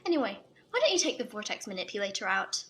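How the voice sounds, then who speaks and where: Sardonic, self-assured female operative played by an actress, animated delivery, faint noise behind. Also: medium pitch, fast. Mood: sardonic, knowing. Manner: sardonic, breezy. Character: cocky, sardonic, knowing female agent. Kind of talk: audio drama acting.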